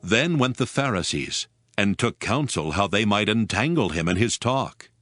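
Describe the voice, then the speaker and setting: A man's voice: fairly low pitch, animated, moderate pace, fairly bright tone, faint music behind. A man narrating scripture from a script for an audio drama.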